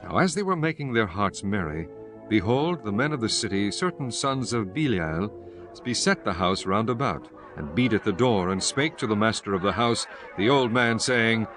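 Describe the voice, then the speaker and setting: A male narrator reads scripture from a script. His pitch is on the low side and his delivery is solemn and formal, with quiet music underneath. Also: expressive, normal speed.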